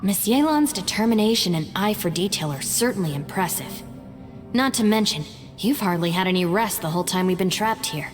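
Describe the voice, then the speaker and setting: A woman playing a composed, serious and cautious game character who speaks in a measured, formal manner. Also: medium pitch, expressive, in a large hall, soft background music, lightly acted. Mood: respectful. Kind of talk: video game voice acting.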